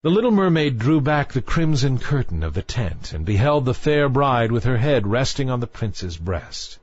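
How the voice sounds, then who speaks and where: A man reading a fairy tale as a storyteller, in a measured literary style. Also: medium pitch, sing-song, a little mellow, no echo, highs cut off. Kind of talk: scripted fairy tale narration.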